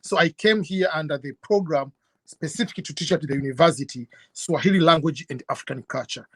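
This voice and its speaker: A middle-aged man from Tanzania, a guest telling his story in an interview in an earnest, measured way. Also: a little high, fairly bright tone, husky, no room sound. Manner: earnest, measured, with fillers and restarts. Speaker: guest YouTuber.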